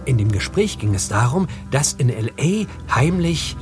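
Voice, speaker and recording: Earnest young envoy over music. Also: male voice, mid-range voice, very animated, unhurried. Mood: earnest explaining. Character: earnest young male foreign envoy, anxious about being pursued. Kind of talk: German audio drama dialogue.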